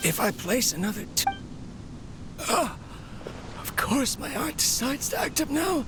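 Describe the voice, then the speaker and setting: A man voicing a lonely, weary inventor in a video game, speaking earnestly and haltingly as if confiding in his machine. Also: extremely high pitch, sing-song, noisy background, heavily acted. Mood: distressed. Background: game music.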